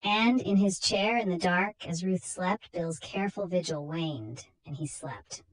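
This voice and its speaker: Lower-pitched woman narrating dramatically. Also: measured pace, low-quality recording. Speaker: narrator of a comic book short story.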